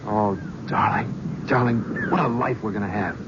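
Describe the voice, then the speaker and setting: An actor playing a cynical young talent-agency gofer in a 1970s radio drama, narrating and talking in a brusque, flippant, wisecracking way. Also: male voice, fairly low pitch, normal speed, natural voice. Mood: affectionate. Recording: muffled old radio broadcast, faint hiss.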